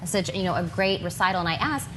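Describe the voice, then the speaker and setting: A woman's voice: lowish voice, lively intonation, quick pace, noisy background. A woman hosting a live TV interview in a studio, gushing with praise and filling gaps with "you know". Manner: gushing, effusive praise with many fillers. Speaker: TV show host.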